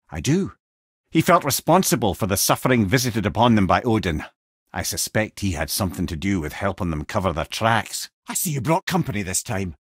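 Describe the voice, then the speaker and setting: A man's voice: fairly low pitch, slightly rough, dry recording, clean studio sound. A man voicing a wise, witty old talking head in a video game, with a Scottish accent and an eloquent, wry storytelling manner. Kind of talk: game character dialogue and storytelling.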